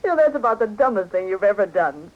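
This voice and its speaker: Actress as teasing, animated female colleague. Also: medium pitch, fast talker, natural voice. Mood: amused. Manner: playful scolding. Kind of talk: 1940s radio acting. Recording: dull, faintly noisy 1940s radio recording.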